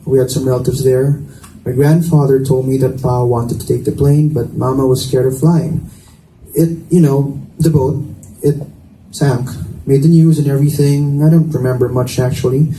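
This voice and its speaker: Stiff, guarded young man, very mellow, as a male reader plays him. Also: medium pitch, fairly even intonation, normal speed, natural voice. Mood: quiet, uncertain. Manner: curt, formal. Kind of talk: dramatic reading of a romance novel. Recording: echoey room, distant mic, faint noise.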